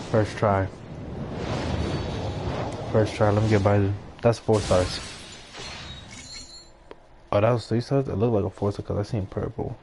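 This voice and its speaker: A man's voice: on the low side, noisy background. A young man giving casual, slangy, rambling commentary as he streams a game. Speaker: gaming streamer. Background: game music.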